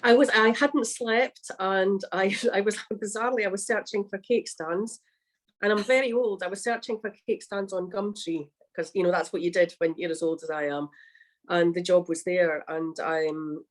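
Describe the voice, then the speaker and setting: A woman's voice: medium pitch, steady tone, moderate pace, low-quality recording. A Scottish woman, a cookbook author and baker, chatting on a video call with frequent laughs. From Scotland. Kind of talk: casual chat on a livestream.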